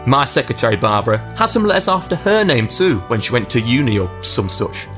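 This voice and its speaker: An actor playing a vain, self-satisfied 1980s funeral director delivering a pompous, joking monologue as a comic radio advert. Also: male voice, medium pitch, sing-song, fairly quick, fairly bright tone, faint music behind. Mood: smug, jokey.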